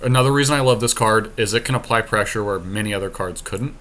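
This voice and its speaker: Male gaming co-host, casual and informal, forceful edge, faint background noise. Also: fairly low pitch, quick pace. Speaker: podcast co-host. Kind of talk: casual commentary on an online card game.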